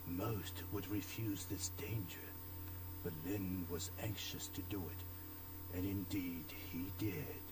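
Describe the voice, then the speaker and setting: An actor playing a cryptic, sinister antique shopkeeper in a video game, telling morbid tales in a measured, formal, ominous way. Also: male voice, low-pitched, fairly even intonation, background noise. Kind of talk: dramatic video game acting.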